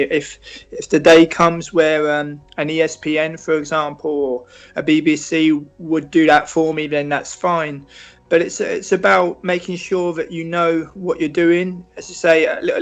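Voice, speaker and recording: A British man answering interview questions in a measured, reflective way, often restarting and filling pauses. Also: on the high side. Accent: British English. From Britain. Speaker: football writer and interview guest.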